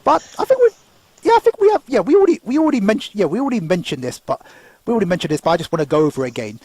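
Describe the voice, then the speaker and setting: A man's voice: sits high, big pitch swings, rapid-fire, dark tone, no echo. A man, hosting a casual panel chat that rambles into tangents, with frequent laughter. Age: 30s. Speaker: podcast host.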